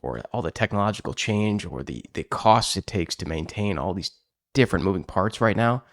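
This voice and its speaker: A man's voice: low voice, animated, fairly quick, dry recording, clean studio sound. A man with a Chicago accent co-hosting a podcast in casual chat, joking and self-deprecating. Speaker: podcast co-host.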